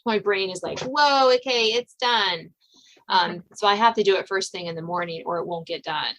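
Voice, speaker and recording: A woman's voice: medium pitch, normal speed, fairly bright tone, rough recording. A woman hosting a podcast, speaking in a conversational interviewing style. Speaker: podcast host.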